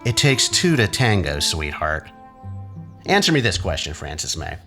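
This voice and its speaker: A low-voiced, menacing man of science played by a male actor in an audio drama. His delivery swings widely, sardonic and taunting, as he probes with rhetorical questions. Music plays under him. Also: sing-song, normal speed, pushed and bright, heavily acted. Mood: taunting, intense.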